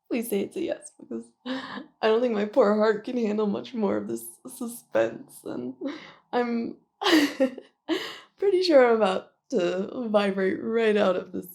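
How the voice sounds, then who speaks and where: A woman playing a flustered young office worker in an intimate ASMR roleplay. Her voice is slightly high with plenty of creak, and she speaks slowly and haltingly, nervous, giggly and self-deprecating as she rambles toward a confession. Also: darkish tone, heavily acted. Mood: nervous, pleading.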